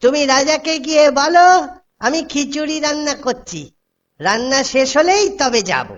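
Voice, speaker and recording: A woman voicing a clever, self-assured male court jester in a cartoon, with a calm and assured manner. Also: high-pitched, moderate pace, lo-fi sound. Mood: calm, sly. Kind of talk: cartoon voice acting.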